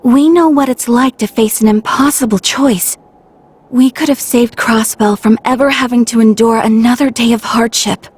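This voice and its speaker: Earnest, breathy young game heroine. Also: female voice, on the high side, moderate pace, darkish tone. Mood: earnest, firm. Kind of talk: video game voice acting.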